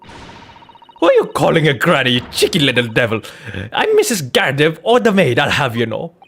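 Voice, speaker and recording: A man voicing a quick-tempered, pompous married woman in a courtroom game, huffy and easily flustered. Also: on the high side, wildly swooping pitch, fairly bright tone, heavily acted. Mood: offended. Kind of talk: dramatic video game character voicing.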